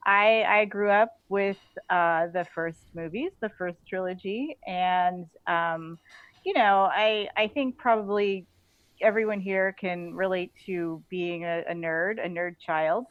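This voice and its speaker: A woman's voice: fairly low pitch, fairly even intonation, slow, smooth, no echo. A woman chatting casually as an interview guest, phrasing her thoughts hesitantly with small chuckles. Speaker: podcast guest, author. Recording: thin phone-like call audio.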